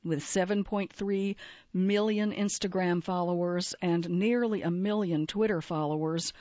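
A woman narrating a magazine feature article in an even, measured reading style. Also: lowish voice, no echo, muffled recording.

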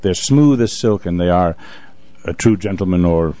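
A man's voice: low voice, expressive, normal speed, warm tone. A man hosting a radio show in a conversational style, speaking as a stage performer would between pieces.

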